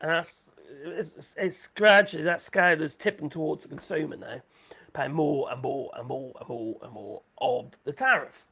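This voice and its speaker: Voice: medium pitch, normal speed, creaky, fairly bright tone, husky. A livestream host rambling through sarcastic commentary, saying the voice is going. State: losing voice.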